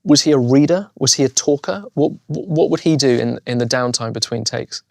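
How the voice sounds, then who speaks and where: A man guesting on a podcast, sincere and curious as he talks. His pitch is ordinary and middling. The sound is close and dry. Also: moderate pace, creaky, darkish tone, dry recording. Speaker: guest actor. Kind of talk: interview chat.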